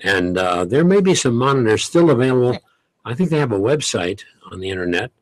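An older man reminisces in a dry, close-miked radio studio. His speech is easy and anecdotal, in a middle register, dotted with soft chuckles. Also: mid-range voice, sing-song, fairly quick, dry recording. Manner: chatty, anecdotal, chuckles often. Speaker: longtime radio show host, guest. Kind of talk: relaxed reminiscing studio talk. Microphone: studio mic, headphones.

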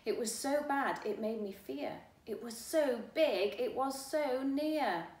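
A woman voicing an excitable, frightened man with a gun in a children's storybook, emphatic and urgent. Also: high-pitched, slow, heavily acted. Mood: alarmed. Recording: roomy, distant mic, faint hiss.

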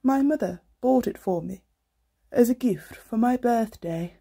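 A young-sounding actress plays an elderly granny in a period radio play. The woman speaks slowly in a gravelly, husky voice, doting and wistful, with old-fashioned gentility. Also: fairly high pitch, expressive, warm tone, exaggerated voice. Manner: slow, doting, old-fashioned genteel speech. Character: frail, fond, wistful old bedridden grandmother. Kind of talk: 1930s-set radio play acting.

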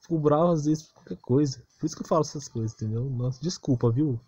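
A man's voice: fairly high pitch, fast talker, dark tone, husky, no room sound, muffled recording. A Brazilian man ranting casually and unscripted as a gaming video creator, defensive and exasperated, on a close, cheap-sounding mic. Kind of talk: casual unscripted rant over game footage.

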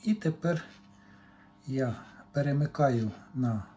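Male hobby technician, unhurried, matter-of-fact, fragmentary hands-on commentary, slightly noisy home recording. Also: medium pitch, warm tone. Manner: matter-of-fact, talking in short fragments while working. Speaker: hobbyist repair technician presenting a demonstration. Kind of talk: unscripted technical demonstration, talking through measurements.

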